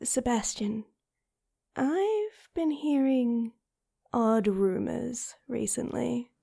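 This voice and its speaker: A woman playing a composed, persistent noblewoman in an audio drama, wry and probing in a measured way. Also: fairly high pitch, vocal fry, warm tone, heavily acted. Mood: cautious, probing.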